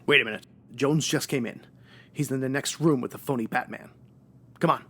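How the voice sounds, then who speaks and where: Husky, stern superhero. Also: male voice, medium pitch, fairly quick, fairly bright tone. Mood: earnest. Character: earnest, stern male superhero, dryly patient. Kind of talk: old-time radio serial acting.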